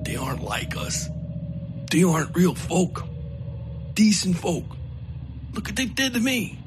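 A man voicing a gut-shot, feverish, bigoted man who talks in coarse folksy grammar, in a dark audio story. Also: high voice, very animated, a little slow, music in the background, pitched above the performer's own voice. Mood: bitter.

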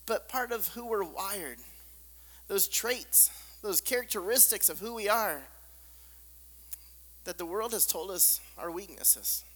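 A man with a squeaky voice preaching a sermon, hammering points through repetition and dropping to soft, hushed lines. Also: slow pace, pauses often. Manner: emphatic repetition, drops to soft near-whispers. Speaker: pastor. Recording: long reverb of a large hall.

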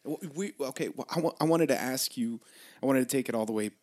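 A man with a mid-pitched voice hosts a podcast in a relaxed, friendly way. He banters with his guest, swears freely and laughs along. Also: quick pace. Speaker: podcast host. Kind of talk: casual podcast chat.

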